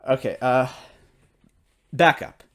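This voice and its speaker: A man's voice: medium pitch, takes it slowly. A man hosting a semi-dramatic reading of a noir detective story, stumbling over lines now and then. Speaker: story reader and host. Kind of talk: semi-dramatic noir narration with casual asides.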